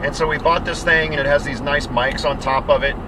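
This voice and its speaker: A man's voice: steady tone, a little fast. A man co-hosting a casual podcast while he drives a truck, rambling and wry, swearing freely. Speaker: podcast co-host. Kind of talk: casual chat. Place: driving a pickup truck. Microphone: dash cam. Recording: distant dash cam mic with loud road rumble. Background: road and engine rumble.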